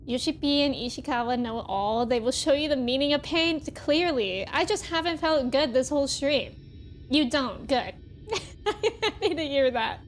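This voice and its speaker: A woman's voice: sits high, animated, moderate pace, a little hiss or hum. A woman livestreaming a video game, chatting casually and expressively as she reacts and reads chat messages aloud.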